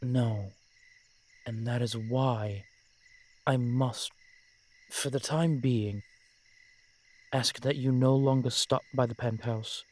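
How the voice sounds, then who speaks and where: Guy doing a brooding protective male vampire, audio rp, medium pitch, old-fashioned formal wording, dramatic pauses. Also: fairly even intonation, darkish tone. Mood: tender, sorrowful. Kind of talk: scripted audio roleplay acting addressed to the listener.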